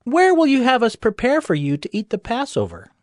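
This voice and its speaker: A man's voice: extremely high pitch, huge pitch swings, brisk, no room sound, studio quality, full character voice. An actor playing an anxious, devoted male disciple in a dramatized scripture recording. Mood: inquiring.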